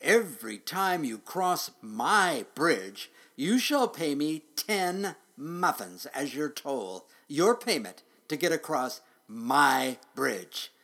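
Man voicing a rumbling, greedy muffin-loving dragon. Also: sing-song, takes it slowly, few pauses, a bit bright, exaggerated voice. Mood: menacing, demanding. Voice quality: rumbling, mumbling growl.

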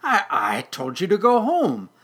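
A storyteller voicing a tired, grumpy furry male creature with a soft heart, grumbling and muttering in exasperation. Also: mid-range voice, animated, a little slow, husky. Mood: angry, flustered.

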